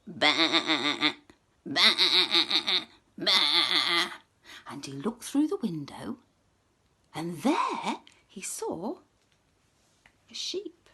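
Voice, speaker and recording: A woman telling a children's story expressively, often dropping to a hush for suspense. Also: mid-range voice, huge pitch swings, slow pace, pauses often, husky.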